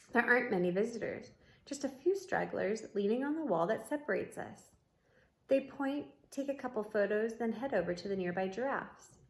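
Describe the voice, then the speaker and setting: A woman reading a storybook aloud like a teacher, expressive and adding comments for young listeners. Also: a little high. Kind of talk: read-aloud storybook narration with asides. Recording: roomy, echoing room sound.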